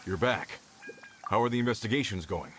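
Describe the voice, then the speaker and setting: A man's voice: on the low side, lively intonation, fairly quick, slight background noise, lightly acted. A man voicing a worried mine overseer in a video game, concerned and questioning.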